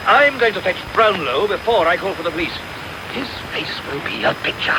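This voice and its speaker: An actor playing an irascible old English gentleman in a radio period drama, blustering and quick to condemn, with an English accent. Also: high-pitched, big pitch swings. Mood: gloating. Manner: blustering, emphatic. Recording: very noisy medium-wave radio recording.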